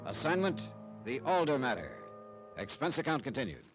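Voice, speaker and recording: An actor playing a wry, hard-boiled American insurance investigator, narrating an old-time radio detective drama in clipped, dramatic phrases. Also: male voice, mid-range voice, sing-song, normal speed, loud music behind the voice. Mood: brisk, wry.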